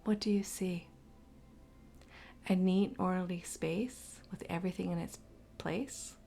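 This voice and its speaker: Low, soft creaky woman guiding meditation. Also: fairly even intonation, measured pace, a little hiss or hum. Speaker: meditation guide. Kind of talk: guided meditation narration.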